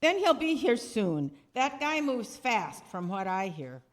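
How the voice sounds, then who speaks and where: A woman's voice: fairly high pitch, big pitch swings, unhurried. A woman playing a menacing, impatient male gangster, blunt and streetwise, in 1930s radio style. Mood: impatient, gruff. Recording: echoey hall, long reverb.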